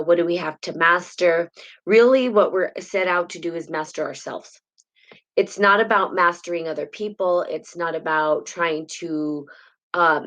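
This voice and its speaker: Low-voiced woman hosting a chatty live stream. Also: a bit bright. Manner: rambling and chatty, greets viewers by name. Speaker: host of a live spiritual talk show. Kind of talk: casual spiritual teaching, live stream. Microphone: built-in device mic instead of her usual mic.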